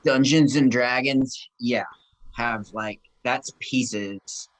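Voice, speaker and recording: A man co-hosting a casual talk show, chatting excitedly and swearing casually. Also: mid-range voice, very slow, rough recording. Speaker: podcast co-host. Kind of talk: casual chat.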